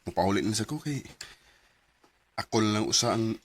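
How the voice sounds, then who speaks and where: A man's voice: lowish voice, lively intonation, normal speed, breathy edge. A man acting in a Bisaya radio drama as an earnest, meek, hardworking office employee, with a hesitant manner and a Filipino Bisaya accent. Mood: pensive, concerned.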